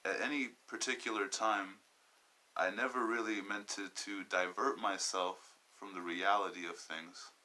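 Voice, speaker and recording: A young man in his twenties from Southern California with a low voice and a very bright, tense edge. He talks through a personal podcast monologue in a halting, wandering way, often stopping to search for the next word. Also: steady tone, poor audio. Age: 20s. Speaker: podcast host.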